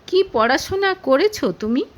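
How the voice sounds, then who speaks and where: A woman's voice: sits high, lively intonation, normal speed, slight background noise, pitched above the performer's own voice. A woman voicing a haughty, scornful middle-aged mother in an audio story, interrogating and snapping with contempt. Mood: sharp questioning.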